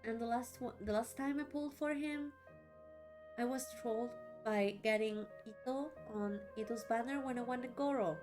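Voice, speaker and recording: Chatty woman streamer, fairly high voice. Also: moderate pace. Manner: chatty, reacting aloud in short asides. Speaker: streamer playing a game. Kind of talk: casual let's-play commentary. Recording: game music behind.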